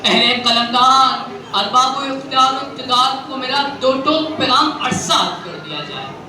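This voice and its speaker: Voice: sits high, expressive, measured pace, crowd noise. Echoing Pakistani student orator, distant and declamatory. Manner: theatrical, rhetorical, with repeated phrases for emphasis. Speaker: student speech contestant. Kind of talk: fiery declamatory Urdu speech with poetry. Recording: very echoey, distant mic, noisy venue recording.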